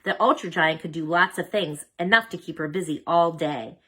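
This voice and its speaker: A woman reading a children's storybook aloud as an expressive narrator. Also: on the low side, moderate pace. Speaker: storybook reader.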